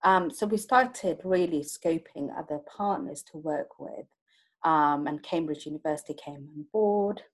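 A woman's voice: sits low, measured pace. A woman in casual podcast conversation, talking with many fillers and frequent small laughs. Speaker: project co-lead.

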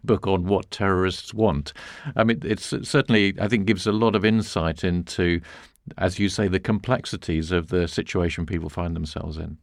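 A man interviewing a guest in a conversational style, feeling his way through questions with halting, tentative phrasing. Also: deep voice, fairly even intonation, no echo, studio quality.

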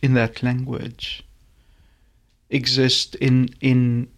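Slow, halting man with a gravelly voice. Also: medium pitch, completely flat delivery. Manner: halting, repeats words, searches for phrasing. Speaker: poet. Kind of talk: reflective interview answers.

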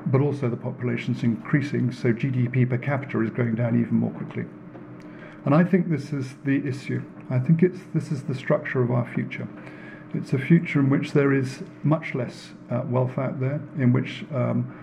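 A man giving a public lecture in an earnest, deliberate way, repeating phrases for emphasis. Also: mid-range voice, gravelly, dull audio, slight background noise. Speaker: speaker giving a talk, works in renewable energy. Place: indoor room in front of an audience.

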